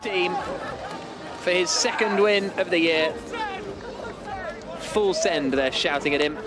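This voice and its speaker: A man giving live, excitable, dramatic motor racing commentary from a commentary box. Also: high voice, slow pace, a crowd in the background. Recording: loud engine noise behind. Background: race cars and engine noise.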